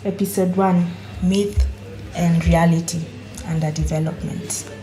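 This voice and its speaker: A woman's voice: lowish voice, fairly even intonation, measured pace, warm tone, soft background music, poor audio. A woman presenting her writing informally to a group and inviting their thoughts. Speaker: writer presenting at a table read. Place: in-person group table read.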